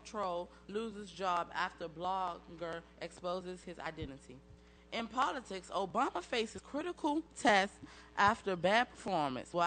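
A man's voice: sits high, sharp tone. Young man reading headlines. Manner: reads headlines, adds brief personal comments. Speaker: student news reader. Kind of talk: reading news and weather.

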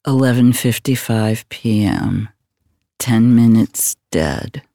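A man's voice: medium pitch, lively intonation, takes it slowly, creaky, noisy background. A man playing a somber investigator recounting events in an audio drama. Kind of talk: dramatic audio play narration.

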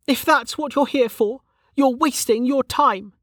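A woman's voice: high voice, a little slow, dry recording, clean studio sound, voice pushed up. A woman voicing a bitter, weary, hot-tempered and foul-mouthed woman in an audiobook, snappish and scornful. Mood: angry, defiant.

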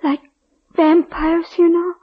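Old muffled radio, a worried maid, really high voice, polite and deferential. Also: female voice, level tone, slow. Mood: anxious concern. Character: deferential, concerned female household servant in France. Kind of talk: 1940s radio drama acting.

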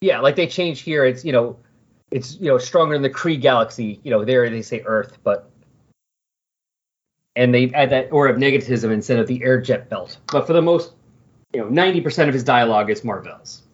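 Husky man chatting, dull lo-fi sound. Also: mid-range voice, fairly quick. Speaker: co-host of a comic book discussion podcast. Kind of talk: casual comic book discussion, reading dialogue aloud. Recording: dull, lo-fi voice recording.

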